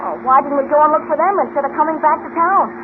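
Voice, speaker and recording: Very high and quick, an eager boy cub reporter, earnest and wide-eyed. The sound is a tinny vintage radio serial. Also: noisy background. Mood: eager, puzzled. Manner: earnest, youthful, questioning. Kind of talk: 1940s radio serial acting.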